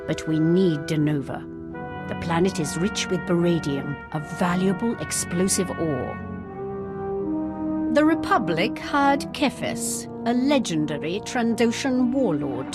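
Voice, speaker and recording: A stern imperial commander in a video game. She sounds formal, haughty and commanding, with music playing clearly behind her. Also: lowish voice. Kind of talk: scripted video game acting.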